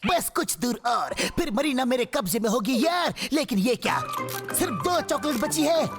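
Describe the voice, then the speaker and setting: Gloating male hyena villain, cartoon dub, high voice, cocky, exclamatory, heavy backing music. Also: animated, moderate pace. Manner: boastful, scheming, exclamatory. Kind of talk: Hindi cartoon dub acting. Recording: loud music under the voice.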